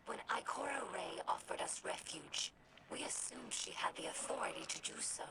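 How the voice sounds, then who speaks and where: A woman playing an earnest young female alien scholar in a video game, with a breathy, whispery voice and measured, formal phrasing. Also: on the low side, poor audio. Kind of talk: video game character dialogue. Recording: music behind the voice.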